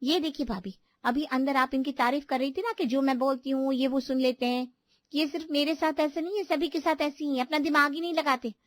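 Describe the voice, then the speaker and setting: A woman's voice: high-pitched, dry recording, clean studio sound, natural voice. A woman voicing an outspoken younger daughter-in-law in a family story, complaining and pleading her case. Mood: exasperated. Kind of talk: animated Hindi family story dialogue.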